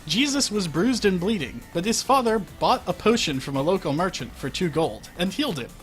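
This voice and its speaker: Wry, high-voiced man reading aloud. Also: sing-song, brisk. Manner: wry, breaks off to comment on the text. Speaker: story reader. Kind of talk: comic fan fiction reading on a livestream. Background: faint music.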